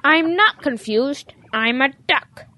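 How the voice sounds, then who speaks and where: A woman voicing a stubborn, dejected young cow who insists on being a duck, childlike and halting, in an audio drama. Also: sits high, animated, pushed and bright, slight background noise, heavily acted. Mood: dejected, determined. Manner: halting, stammering, self-correcting.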